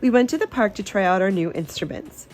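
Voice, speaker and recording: A woman reading a children's storybook aloud in an expressive, animated, emphatic narration. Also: medium pitch, fast, faint music behind, poor audio.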